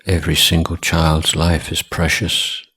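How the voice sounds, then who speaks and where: Very deep, unhurried husky man guiding meditation. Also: completely flat delivery, bright, forceful tone. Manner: measured, deliberate, short phrases with long pauses. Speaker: Buddhist monk, meditation teacher. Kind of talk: guided meditation instruction.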